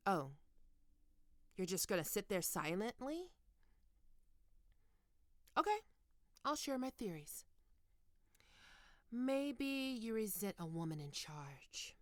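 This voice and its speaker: A woman playing a stern, teasing goth college professor in an ASMR roleplay, speaking deliberately and condescendingly into a close microphone. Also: medium pitch, very animated, dry recording. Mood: probing, taunting. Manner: condescending, taunting, deliberate with pauses. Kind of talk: ASMR roleplay, scripted monologue to listener.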